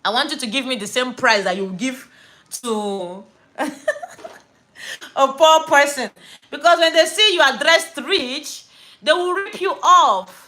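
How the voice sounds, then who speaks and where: High, bright-voiced Nigerian woman. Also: takes it slowly, pauses often, slight background noise. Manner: chatty, animated storyteller with pidgin slang. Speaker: livestream host. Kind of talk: casual live-stream chat.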